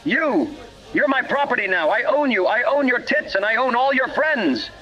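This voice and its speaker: A swaggering, violent mama's-boy thug in a parody radio play. The voice sits high for a man, full of crude boasts and threats. It comes through muffled and narrow, with faint music underneath. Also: lively intonation, moderate pace, bright, forceful tone, full character voice. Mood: domineering. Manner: bragging, menacing, crude. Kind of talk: parody radio drama acting.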